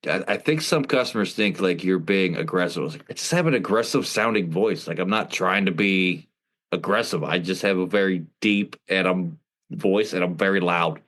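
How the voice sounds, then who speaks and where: A man in his thirties hosts a casual podcast chat, loud and self-deprecating. His voice is very deep and carries easily. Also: normal speed. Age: 30s. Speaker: podcast host.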